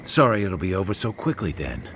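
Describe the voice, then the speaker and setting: A man playing a gruff, wary companion in a fantasy adventure game, with a gravelly voice. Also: low voice, very animated, a little fast, fairly bright tone, a little hiss or hum, exaggerated voice. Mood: wary, dry. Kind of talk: scripted fantasy game dialogue.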